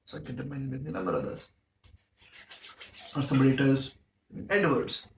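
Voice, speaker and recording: A man giving a spiritual talk at a temple gathering, often checking in with the audience through quick rhetorical questions. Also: medium pitch, expressive, a little hiss or hum. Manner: uses props and everyday examples. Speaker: speaker at a temple Sunday feast. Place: temple hall. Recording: echoey room, mic at a distance.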